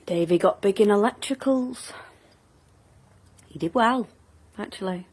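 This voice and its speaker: A woman's voice: medium pitch, measured pace, darkish tone, slightly rough. An actress playing an older, plain-spoken woman in a naturalistic audio drama, wistful and wry, sometimes hesitant.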